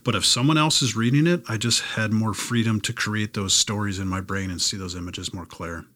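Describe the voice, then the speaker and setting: A man's voice: fairly low pitch, a little fast, bright, forceful tone. A middle-aged man in casual, reflective conversation, rambling informally as he thinks aloud.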